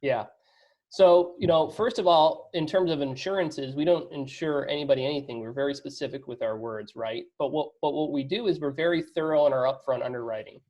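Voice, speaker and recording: A man's voice: a little high, fairly quick, darkish tone, highs cut off. A man answering as a guest investor in a conversational business interview, talking emphatically and often checking in with rhetorical questions.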